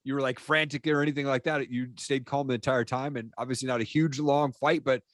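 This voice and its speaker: A man's voice: medium pitch, steady tone, brisk, no echo, clean studio sound. A man hosting a podcast interview, upbeat and casual, peppering questions with "man" and "dude". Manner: enthusiastic, says man and dude a lot. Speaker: podcast host.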